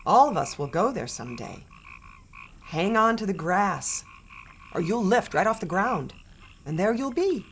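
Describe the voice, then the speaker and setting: A woman voicing a loving, wise old grandmother in a storybook, speaking softly and tenderly. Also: medium pitch, very animated, a little slow, pauses often, a little hiss or hum. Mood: playful. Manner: soft, tender, coaxing.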